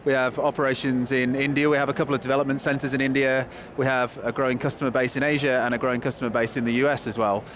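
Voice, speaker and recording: A man's voice: mid-range voice, little pitch movement, a bit bright. Upbeat Northern English man on a busy show floor. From England. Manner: quick, enthusiastic, with fillers. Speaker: guest, company chief executive. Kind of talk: conversational interview answers. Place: convention hall floor. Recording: busy trade show noise behind.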